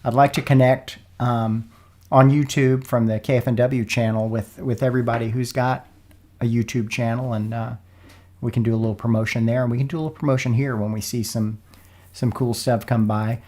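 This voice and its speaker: A man hosts a relaxed sponsor podcast in a mid-pitched voice. He sounds a little froggy, as if getting over a cold. His delivery is informal and folksy. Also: a little fast. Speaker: podcast host. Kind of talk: casual sponsor interview podcast.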